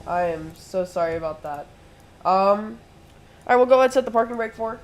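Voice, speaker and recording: A man's voice: high voice, expressive, fairly quick. Congested young man narrating a flight sim. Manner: chatty, talks through each step. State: sick, congested. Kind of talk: casual live play-by-play.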